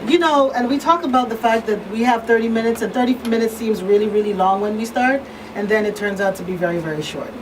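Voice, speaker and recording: A woman's voice: fairly high pitch, quick pace. A woman hosting a talk show, speaking in a casual, conversational panel style. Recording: distant mic, clearly noisy room.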